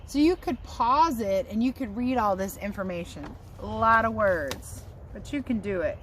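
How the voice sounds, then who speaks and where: A woman's voice: mid-range voice, animated, normal speed. A woman teacher reading a picture book aloud to children outdoors, adding casual asides and speaking to her young listeners in an encouraging way. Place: outdoors under trees near a house. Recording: outdoor background noise, passing aircraft.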